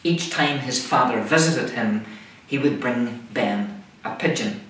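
Male minister, middle-aged, deliberate sermon delivery, recorded far from the mic in a very echoey room. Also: medium pitch, a bit bright. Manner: measured, deliberate delivery in short phrases. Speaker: minister preaching. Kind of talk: scripted sermon. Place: indoors, small room, seated at a table. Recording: echoey room, far microphone, faint noise.

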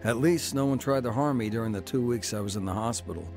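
A man's voice: medium pitch, fast, over music. A middle-aged man telling his own story in earnest first-person narration for a radio drama. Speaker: narrator and lead.

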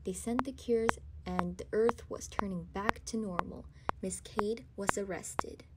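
A woman's voice: mid-range voice, steady tone, measured pace, lightly acted. A teenage girl narrating an amateur audio drama in a scripted storytelling style. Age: young teenager. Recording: noisy amateur student recording.

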